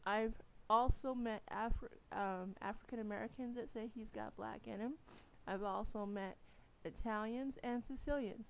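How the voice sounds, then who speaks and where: Chatty blunt woman on a home vid, higher voice, bit of hiss behind. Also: normal speed, creaky, hardly any pauses, rough recording. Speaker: vlogger's wife. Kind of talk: casual home video chat.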